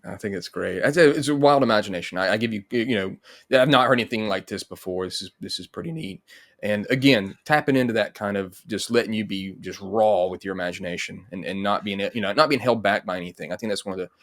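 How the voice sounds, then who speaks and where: Low-voiced middle-aged man hosting. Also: fast talker, fairly bright tone. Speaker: interview host. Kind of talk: casual interview chat. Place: home room with bookshelf, on video call.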